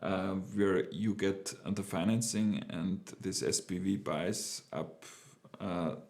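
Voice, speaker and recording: A man's voice: sits low, steady tone, slow pace, a little mellow. A middle-aged man with a German accent telling a personal business story in a casual, hesitant way, with many fillers and restarts. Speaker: entrepreneur sharing his experience.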